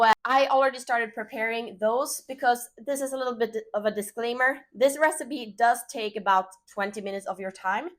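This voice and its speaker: A woman with a Swedish accent, whose first language is Swedish, hosts a casual cooking demonstration in her home kitchen. Her voice sits a little high, and she chats along easily, with plenty of fillers. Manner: relaxed, chatty, full of fillers. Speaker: cooking show host.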